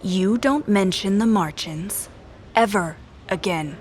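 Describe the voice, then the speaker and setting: A woman playing a guarded, sharp-tongued, defiant special agent in an audio drama. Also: mid-range voice, takes it slowly, close to an everyday voice. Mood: guarded, dry. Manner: cutting, defiant.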